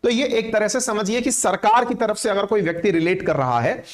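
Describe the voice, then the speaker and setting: A man with an Indian accent teaching current affairs in a didactic, explanatory way, often checking that listeners follow. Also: sits high. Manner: didactic, pausing to check with "theek hai".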